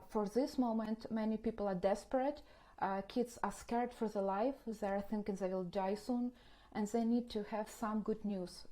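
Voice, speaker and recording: A woman's voice: on the high side, mellow. A woman from Ukraine with a Ukrainian accent, giving an earnest, explanatory first-hand report as a ministry coordinator. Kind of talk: interview, first-hand account.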